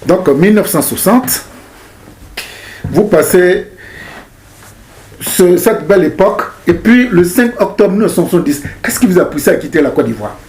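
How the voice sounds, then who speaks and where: A man's voice: high-pitched, expressive, moderate pace, pauses often, darkish tone, a little hiss or hum. A man, asking questions conversationally as the interviewer. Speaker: interviewer, host. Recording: roomy, echoing interview sound.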